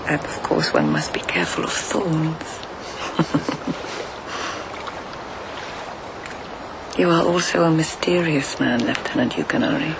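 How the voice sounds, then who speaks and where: An actress playing a dreamy, tender, observant court lady in a radio drama, musing in a hushed, breathy way. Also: sits low, sing-song, normal speed, noisy background, full character voice. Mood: tender, curious. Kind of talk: intimate radio drama acting.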